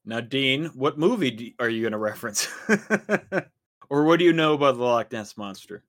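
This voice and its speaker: A man's voice: mid-range voice, lively intonation, moderate pace, a bit bright, dry recording, studio quality. A man hosting a podcast, chatty and upbeat as he leads the casual talk. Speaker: podcast host. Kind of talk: casual chat.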